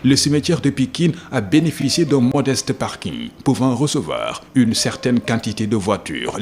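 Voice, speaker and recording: Give the voice of a male documentary narrator. His pitch sits in the middle for a man and moves up and down a great deal as he reads the script. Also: darkish tone, slight background noise.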